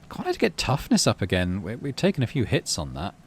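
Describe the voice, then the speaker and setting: A man's voice: on the low side, wildly swooping pitch, fast talker, a little hiss or hum. A man casually commentating on a game, thinking aloud in murmured asides and reading story text out. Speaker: gaming channel host.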